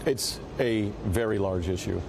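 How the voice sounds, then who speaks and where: Earnest American man, lowish voice, street noise. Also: a little slow. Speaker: union president being interviewed. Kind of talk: news interview answers. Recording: clear outdoor city noise behind.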